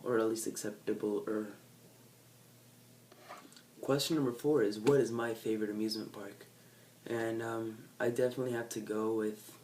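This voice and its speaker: A man's voice: fairly low pitch, a little mellow, far from the mic, a little hiss or hum. A teenage guy from California with a nasal voice, chatting to camera in a casual, unplanned, rambling way that keeps correcting itself. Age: teens. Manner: rambling, self-correcting, laid-back. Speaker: vlogger. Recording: faint background ambience, set back from the mic.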